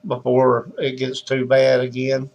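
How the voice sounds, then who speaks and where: Hoarse Southern man for a casual live call-in host; raspy, a bit gravelly, chatty and meandering. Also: medium pitch, completely flat delivery, measured pace, darkish tone, muffled recording. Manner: loose and chatty, greets viewers by name, many restarts. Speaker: host of a live paranormal talk show. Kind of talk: casual rambling live-stream chat.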